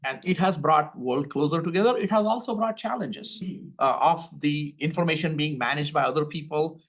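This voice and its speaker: An older man with an Indian accent speaks at a panel discussion in front of an audience. His voice sits a little high for a man, and he talks in an earnest way, repeating the first words of a sentence before settling into personal stories. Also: moderate pace. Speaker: panel expert. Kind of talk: panel discussion answering questions.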